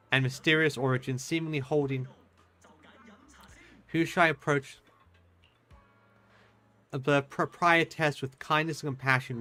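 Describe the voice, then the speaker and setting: A man streaming a game with casual commentary, halting and repeating words as he goes. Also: medium pitch, a bit bright.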